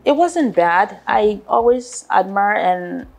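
Grateful Ghana-born woman, gravelly edge. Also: medium pitch, animated, slow pace. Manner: warm, grateful, laughs often. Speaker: interview guest. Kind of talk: casual interview. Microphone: lapel mic.

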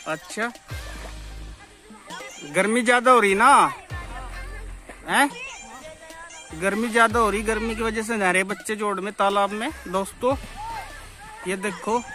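A man's voice: high-pitched, fairly even intonation, a little slow, pauses often, music in the background. Chatty young man vlogging outdoors on a farm. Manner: chatty, keeps addressing viewers as friends. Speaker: village vlogger. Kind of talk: casual walking tour vlog. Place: outdoors on farmland by a pond.

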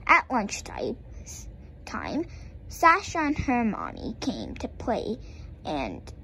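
Young girl, storybook read-aloud, very animated pitch, slow, halting, expressive. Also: vocal fry, a little hiss or hum, poor audio. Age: young child. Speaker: reader.

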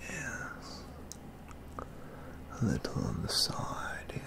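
Casting: young male actor as doting, pompous model collector for ASMR; gravelly whisper, extremely slow, precise formal delivery. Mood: absorbed, approving. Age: young adult. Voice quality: breathy, half-whispered, gravelly. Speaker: ASMR roleplay performer. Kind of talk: soft-spoken ASMR roleplay. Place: small indoor studio, close up.

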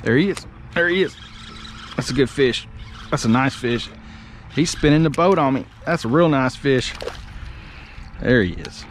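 Excitable man fishing outdoors. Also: mid-range voice, normal speed, long pauses, slight background noise. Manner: excitable, hollers when a fish bites. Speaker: fishing vlogger. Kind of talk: casual fishing vlog talk. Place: outdoors on a small boat on a lake.

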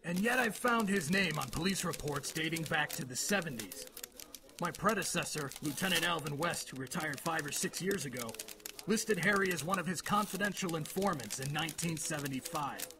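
A man's voice: fairly high pitch, animated, normal speed, natural voice. Actor as suspicious male cop in radio drama; official, blunt, noticeable noise behind him. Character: gruff, suspicious male police lieutenant. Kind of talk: audio drama acting.

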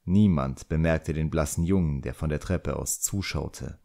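A man's voice: very deep voice, a little slow, creaky, warm tone. A man reading a fantasy novel as scripted audiobook narration.

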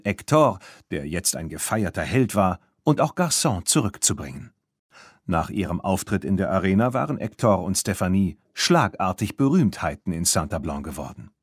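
A man reads a children's story as an audiobook narrator. His voice sits fairly low and has a husky grain, and he tells the tale expressively with very varied intonation. The sound is dry and close. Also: no echo.

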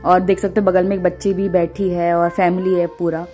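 A woman's voice: on the low side, flat delivery, a little fast, mellow. A woman, narrating a travel vlog in a casual, chatty way as she talks to her viewers. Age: 20s. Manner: chatty, informal, addressing viewers. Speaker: travel vlogger. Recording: music under the voice. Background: background music.

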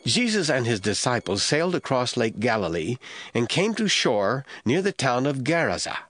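A man narrating a Bible audio drama as scripted, expressive storytelling. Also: medium pitch, normal speed, dry recording.

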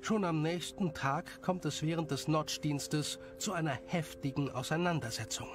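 A man's voice: fairly high pitch, moderate pace, music in the background. A man narrating a scripted story as its storyteller.

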